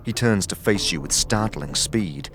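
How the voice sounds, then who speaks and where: A man's voice: low-pitched, expressive, a little slow, a little hiss or hum. A man reading scripted dramatic narration for an audio story. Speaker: narrator and game master. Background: clear music.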